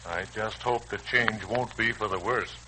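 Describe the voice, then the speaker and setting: A man's voice: on the low side, measured pace, natural voice. An actor playing a wry, firm but easygoing family man in a 1940s radio serial. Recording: noisy old 1940s radio recording.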